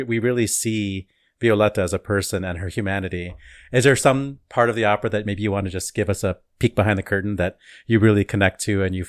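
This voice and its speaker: A man's voice: on the low side, no echo, studio quality. A man hosting an interview, asking long, rambling, enthusiastic questions in a casual chat. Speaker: podcast host.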